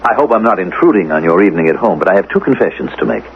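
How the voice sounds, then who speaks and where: An actor playing a smooth-talking, inquisitive government agent from the future, coolly polite and insistent in a radio drama. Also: male voice, low-pitched, sing-song, racing. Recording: muffled, hissy old radio recording.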